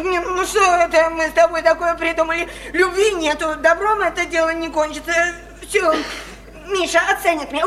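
A fragile, sickly young woman with a very high, plaintive voice. She pleads like a naive girl, yet stubbornly repeats herself, in the manner of intimate radio drama. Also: sharp tone. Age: 20s. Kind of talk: Russian radio play acting.

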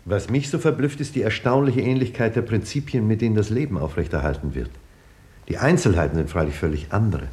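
Man acting a scientist narrator, deep voice, serious formal storytelling, 50s radio play. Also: animated, fairly quick, a little mellow, a little hiss or hum, natural voice. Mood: pondering. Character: male scientist narrator, sober chronicler of events.

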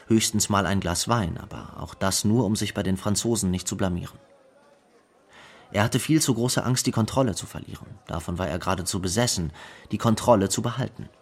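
Low husky man, grieving brother, reflective radio play monologue. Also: exaggerated voice. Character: grieving brother of a dead pop singer, reflective.

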